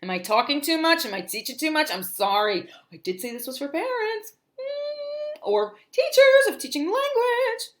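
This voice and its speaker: A middle-aged woman from New Jersey with a softened Jersey accent, teaching phonics in a livestream and sounding out letters while checking in with "okay?". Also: extremely high pitch, expressive, moderate pace. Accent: New Jersey, softened toward standard American. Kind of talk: livestream phonics lesson for parents.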